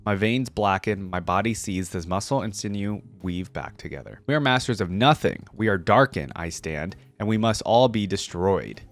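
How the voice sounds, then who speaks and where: A man's voice: lowish voice, animated, normal speed, hardly any pauses. A man hosting a gaming reaction channel, commenting and reading aloud in a close, dry recording. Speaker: YouTube channel host reacting to game lore.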